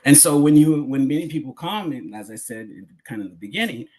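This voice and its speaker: A man's voice: medium pitch, lively intonation, brisk, mellow, breathy edge. An American man giving a sermon-like public address, speaking in an emphatic yet conversational way with repetition and rhetorical questions. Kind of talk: sermon-like lecture to a congregation.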